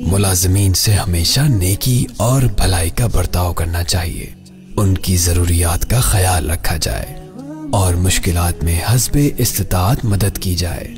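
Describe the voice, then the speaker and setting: Very deep, slow male narrator. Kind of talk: solemn scripted religious moral narration. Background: music.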